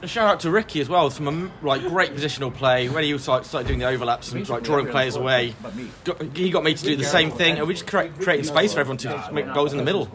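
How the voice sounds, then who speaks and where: A man's voice: on the high side, fast talker. A man, an amateur footballer, chatting casually about the match with lots of "like" fillers. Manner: says "like" often. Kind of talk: casual post-match chat. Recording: busy crowd chatter behind.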